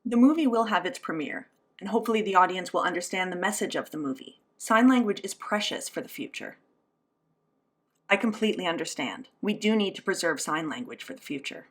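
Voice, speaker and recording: A woman's voice: lowish voice, expressive, brisk. Woman voicing an interpreted interview. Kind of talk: spoken interpretation of a signed interview.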